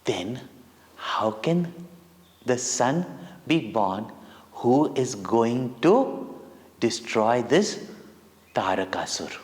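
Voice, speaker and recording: Indian man telling stories, lively swooping pitch. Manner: deliberate, dramatic phrasing in short pauses, simple words. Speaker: spiritual teacher telling stories to children. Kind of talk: devotional children's storytelling. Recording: long hall-like reverb on voice.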